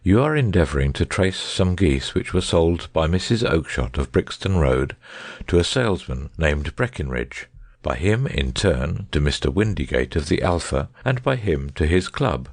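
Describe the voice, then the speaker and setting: A man voicing a suave, assured gentleman detective in an audiobook story, speaking with bland, precise courtesy. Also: low voice, animated, normal speed, slightly rough, no echo, clean studio sound, full character voice.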